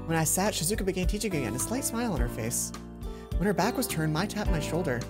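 A man's voice: high voice, lively intonation, fast. A young man hosting a let's play, reading visual novel narration aloud and adding casual commentary. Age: young adult. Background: faint game music.

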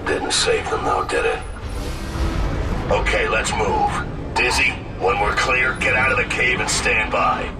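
An actor playing a stern, battle-hardened squad leader in a video game, terse and commanding. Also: male voice, lowish voice, expressive, a little slow, creaky, distant mic, over loud music. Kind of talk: video game cutscene acting.

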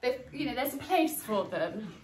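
A woman's voice: fairly high pitch, lively intonation, normal speed, a little mellow. A woman in her forties chatting through a cleaning demonstration at home, using lots of filler words while she works. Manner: friendly how-to chat with filler words, working as she talks. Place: sunlit garden room in a home. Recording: echoey room with faint noise.

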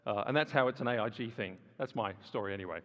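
A man's voice: fairly low pitch, fast talker, a bit bright. A man giving a sermon-style talk to a live audience, speaking conversationally with fillers and rising emphasis. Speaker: guest speaker. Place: large hall with audience. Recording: dull sound with long hall reverb.

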